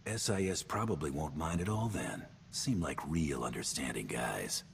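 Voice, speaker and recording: An actor voicing a gruff, laconic male gunslinger in a space video game, dry and flirtatious. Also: extremely low pitch, animated, moderate pace, slight background noise, full character voice. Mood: dry, wry.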